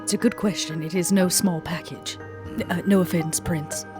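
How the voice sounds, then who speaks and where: A woman playing a poised, calculating vampire lady in a role-play podcast, speaking formally and dryly with a husky tone. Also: lowish voice, steady tone, over music, lightly acted. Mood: cool, dry. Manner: formal, measured, dry. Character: poised, disdainful, high-heeled vampire woman, coolly calculating. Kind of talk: in-character tabletop role-play.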